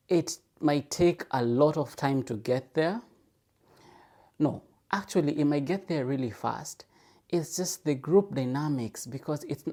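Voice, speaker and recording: A man's voice: mid-range voice, dark tone. A man in a casual, reflective solo podcast monologue, thinking aloud, repeating phrases for emphasis and asking rhetorical questions. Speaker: podcast host.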